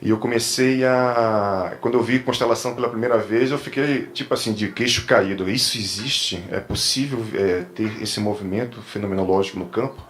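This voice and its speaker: A man's voice: fairly low pitch, normal speed, hardly any pauses, fairly bright tone. A man with a Brazilian accent from Bahia gives an informal explanatory lecture as a therapist and trainer, rephrasing and repeating words as he goes. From Salvador, Brazil. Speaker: therapist and trainer giving a talk. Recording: clear background room noise.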